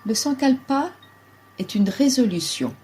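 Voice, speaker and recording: A woman guides a relaxation meditation as a yoga nidra teacher, giving calm, measured instructions in short phrases. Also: high-pitched, a little hiss or hum.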